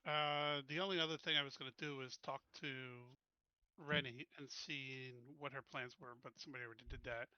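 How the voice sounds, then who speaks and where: A man's voice: medium pitch, fairly even intonation, brisk, pushed and bright, clean tone, muffled recording. A man playing a tabletop game, chatting casually and hesitantly, sometimes speaking as his character.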